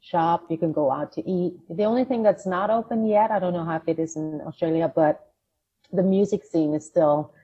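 A woman's voice: lowish voice, normal speed, dark tone. A middle-aged woman from Denmark, a singer and podcast guest, chatting casually and laughing often between phrases. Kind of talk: casual phone interview. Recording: dull lo-fi phone line with faint hiss.

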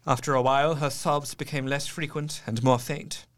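An actor playing a sober, compassionate gentleman doctor in dramatic scripted narration. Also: mid-range voice, steady tone, moderate pace, close to an everyday voice. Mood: solemn, sympathetic. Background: music.

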